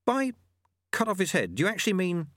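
A man's voice: high-pitched, huge pitch swings, brisk, a bit bright, dry recording, studio quality, pitched above the performer's own voice, exaggerated voice. A man voicing an earnest young male apprentice monk who sounds anxious and incredulous. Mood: uneasy.

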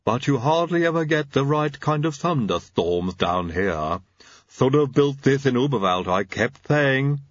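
A man voicing a gruff, blunt, loyal servant from a far country who grumbles in a growl, in an audiobook. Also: medium pitch, animated, unhurried, dry recording, lo-fi sound, voice pushed up. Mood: grumbling.

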